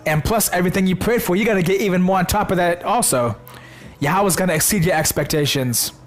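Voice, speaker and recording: A man preaching in a casual, slangy way, hoarse from a sore throat, excited and repeating words for emphasis. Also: high voice, quick pace, faint music behind. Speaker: religious video host.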